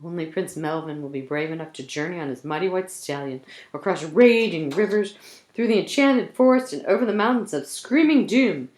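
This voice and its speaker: A woman's voice: fairly low pitch, normal speed, a little mellow, echoey room, close to an everyday voice. A woman voicing a lovestruck young princess in a storybook, dreamy, gushing and melodramatic. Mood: yearning.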